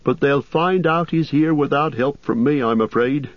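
A man voicing a fussy, excitable middle-aged innkeeper who frets loudly in a raised voice. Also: dry recording, lo-fi sound, lightly acted. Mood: flustered. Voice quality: excitable, high and loud, quick to fret.

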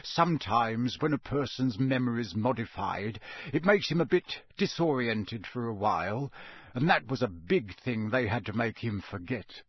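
A man voicing a tired, kindly middle-aged wizard father who speaks in a soothing, measured way. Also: medium pitch, lively intonation, breathy edge, no room sound.

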